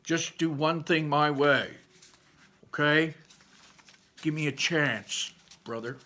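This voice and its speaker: Storybook elder brother played by a man: young, boisterous, exclamatory, raised bright voice. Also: fairly high pitch, slow pace, voice pushed up. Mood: pleading. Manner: exclamatory, loud and pleading.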